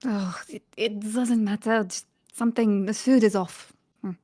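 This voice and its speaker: A woman playing a tabletop role-playing game, describing her actions in casual, hesitant, soft-spoken chat. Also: mid-range voice, fairly even intonation, unhurried.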